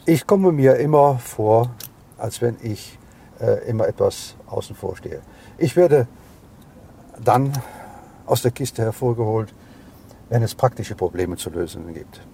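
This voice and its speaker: A man in his seventies, a father being interviewed, talking in a halting, reflective, unscripted way. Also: on the low side, vocal fry, mellow, slight background noise. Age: 70s. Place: moving car.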